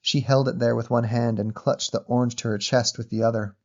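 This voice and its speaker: A man reading a literary short story as narrator in a measured, descriptive manner. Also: medium pitch, steady tone, darkish tone, muffled recording.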